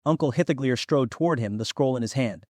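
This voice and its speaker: A man's voice: mid-range voice, quick pace, darkish tone, no room sound, clean studio sound. A man reading scripted fantasy fiction as an audiobook narrator.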